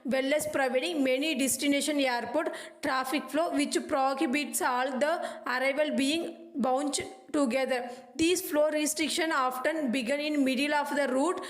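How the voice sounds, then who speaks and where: A woman reading educational notes aloud in a halting, clipped way, like a lecturer. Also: sits high, steady tone, reverberant. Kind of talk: scripted educational narration.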